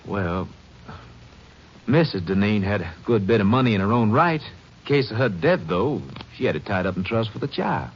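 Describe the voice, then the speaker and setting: A man playing a formal, guarded attorney in a 1950s radio drama, measured and hesitant. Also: on the low side, animated, husky. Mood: reluctant. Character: guarded, formal male attorney, reluctant to talk. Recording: muffled old radio recording, faint noise.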